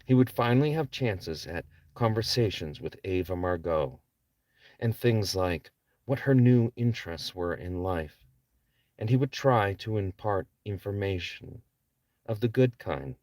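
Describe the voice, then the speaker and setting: A man's voice: low voice, animated, unhurried, a little mellow, no echo. A man reading his own novel aloud as a scripted audiobook narration. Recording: distant microphone.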